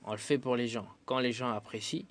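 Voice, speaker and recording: A man's voice: medium pitch, steady tone, fairly quick. Hesitant man, musician guest. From Democratic Republic of the Congo. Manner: thoughtful, hesitant with frequent fillers. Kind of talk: radio interview answers.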